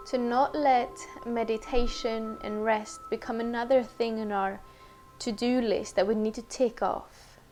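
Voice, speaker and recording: A woman teacher leading a guided meditation in a gentle, unhurried, conversational way. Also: fairly high pitch.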